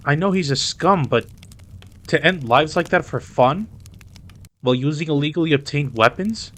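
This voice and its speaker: A man's voice: a little high, lively intonation, normal speed, fairly bright tone. A man playing a dominant, teasing mafia boss in a roleplay audio drama, commanding and sometimes slipping into Spanish. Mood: indignant, serious. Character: dominant, teasing male mafia boss, indignant yet protective.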